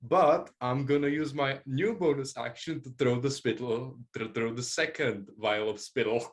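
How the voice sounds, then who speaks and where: A man speaking casually and haltingly as a player in a tabletop game, working through his moves. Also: mid-range voice.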